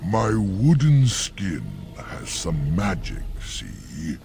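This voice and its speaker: Huge old tree creature, super deep and creaky, solemn rhymes, fantasy game. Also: male voice, huge pitch swings, extremely slow, background noise, heavily acted. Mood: solemn courteous. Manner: archaic, solemn rhyming verse. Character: ancient courteous talking oak tree, mournful, rhyming.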